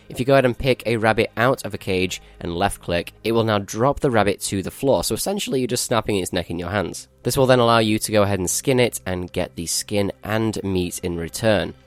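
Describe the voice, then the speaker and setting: Low-voiced man walking through game updates. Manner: explanatory, matter-of-fact. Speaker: gaming channel host. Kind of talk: game update walkthrough commentary.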